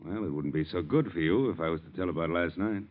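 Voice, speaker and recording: A muffled vintage radio recording carries the very deep voice of a sly chauffeur, played by a male actor. He insinuates and threatens coolly, in slangy phrases, like a blackmailer who knows he holds the cards. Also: fast, natural voice. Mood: insinuating. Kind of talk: old-time radio drama acting.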